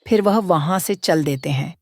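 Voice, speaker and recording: A woman narrating a storybook horror cartoon in a lively, expressive way. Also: low voice, moderate pace, soft background music.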